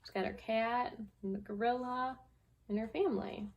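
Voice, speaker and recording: A woman reading and talking to young children as a guest storyteller, in simple, deliberate phrases. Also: fairly high pitch, steady tone, noisy background. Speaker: guest storyteller, school staff member. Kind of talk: children's story read-aloud and advice.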